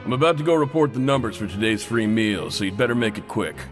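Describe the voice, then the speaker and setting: A brusque working man played by a male actor in a video game, curt and dismissive. His medium-pitched voice moves with lively intonation, and loud music plays behind him. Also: fast talker, close to an everyday voice. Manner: curt, offhand. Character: brusque, dismissive male prison worker in a hurry.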